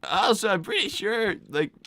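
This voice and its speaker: A flustered young fox boy, as a performer voices him, tripping over his words and starting over again and again. His voice is bright and lively, bouncing up and down, recorded close and dry. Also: medium pitch, no room sound. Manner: stammering, halting, with many restarts. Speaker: character voice actor on a live stream. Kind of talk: improvised live character roleplay.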